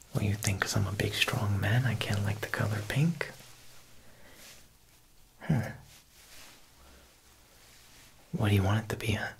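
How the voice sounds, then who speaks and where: A young man playing an Italian mob boss tailor in a whispered ASMR roleplay, with an Italian-American accent and a smooth, insinuating manner. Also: on the low side, steady tone, a little hiss or hum. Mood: defensive, gruff. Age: young adult. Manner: slow, hushed, insinuating, with pauses.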